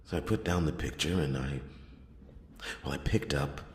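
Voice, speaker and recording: A man playing a brooding noir detective, narrating his case in a hushed, breathy ASMR roleplay with halting, dramatic pauses. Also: very deep voice, echoey room, a little hiss or hum. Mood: hushed wonder. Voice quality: hushed, breathy, often dropping into whisper.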